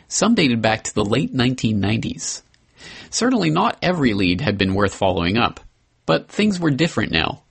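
A man hosting a podcast, reading a memoir excerpt aloud in an earnest, measured way with the odd slip and restart. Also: on the low side, creaky, a bit bright, slightly rough.

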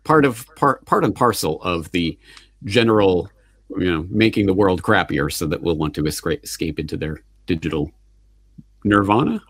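A man's voice: low-pitched, expressive, moderate pace, fairly bright tone, husky, dry recording. A man hosting an interview, chatting casually, agreeable with wry asides. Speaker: podcast host.

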